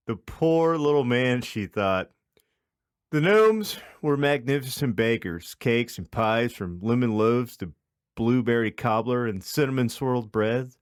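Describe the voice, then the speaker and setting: A man's voice: mid-range voice, expressive, a little slow, smooth, dry recording. Male narrator, mid-range voice, comic storytelling with mock drama, close dry recording. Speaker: podcast host.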